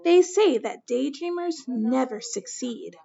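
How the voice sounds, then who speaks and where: A woman teacher reading a picture book aloud to children, gentle and slow, with questions to her listeners. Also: sits high, expressive, gravelly, muffled recording.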